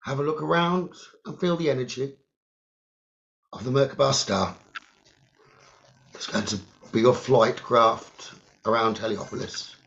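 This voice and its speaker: A man leading a guided meditation in short, halting phrases with long pauses and a creaky voice. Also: mid-range voice, steady tone, a bit bright. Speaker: guided meditation leader, psychic medium. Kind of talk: slow guided meditation narration. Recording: dull, lo-fi sound.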